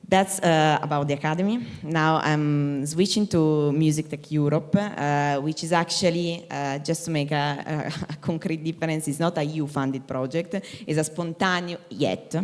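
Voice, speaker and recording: A woman's voice: deep voice, fairly even intonation, moderate pace, few pauses, darkish tone. A woman speaking on a conference panel, explaining a project at length with frequent fillers. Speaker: panelist, operations manager. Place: conference stage. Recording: hall reverb.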